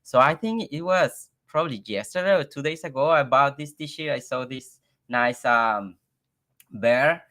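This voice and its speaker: A man from Mexico, a native Spanish speaker with a Mexican accent, talking casually and haltingly as an interview guest. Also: medium pitch, animated, pushed and bright. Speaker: guest, software engineer.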